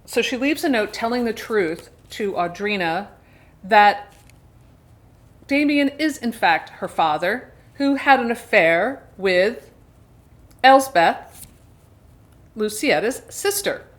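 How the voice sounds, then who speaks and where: A woman's voice: mid-range voice, lively intonation, slow, pauses often. A woman casually retelling a story as a book reviewer, chatty and wryly amused, leaning on key words. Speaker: book reviewer and video host.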